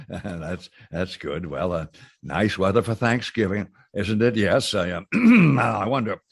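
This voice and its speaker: A gravelly, husky-voiced boss in old-time radio comedy. He is polite and socially awkward, hemming and hawing slowly as he makes small talk. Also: lowish voice, very animated, exaggerated voice. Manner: hesitant, courteous, hemming and hawing. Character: polite, awkward middle-aged male boss as guest.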